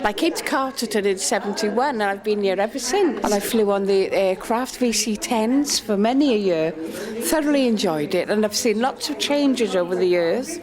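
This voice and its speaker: A woman's voice: medium pitch, animated, normal speed. An older woman from Wales, reminiscing casually. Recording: background chatter in a room.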